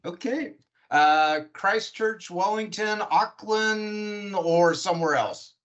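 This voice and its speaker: A man's voice: high voice, slow pace, a bit bright, muffled recording. A middle-aged man from Washington State with an American accent, hosting a conversational podcast interview. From Washington State, United States.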